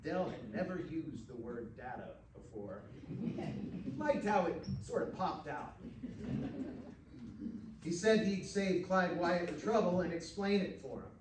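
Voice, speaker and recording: Male American actor for live fiction narration, unhurried pace, distant roomy pickup with faint noise. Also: fairly high pitch, expressive. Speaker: professional actor reading fiction. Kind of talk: live short-story narration. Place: indoor stage reading.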